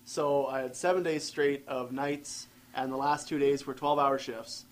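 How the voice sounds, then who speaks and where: A man's voice: mid-range voice, fairly even intonation, moderate pace. A tired man, co-hosting a casual podcast and rambling about long work shifts. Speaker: podcast co-host. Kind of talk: casual chat.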